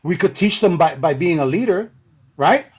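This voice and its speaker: A man's voice: on the high side, expressive, moderate pace, slightly rough. A man speaking in an impassioned group discussion, emphatic, repeating his points and checking in with "right?". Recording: dull, lo-fi call audio.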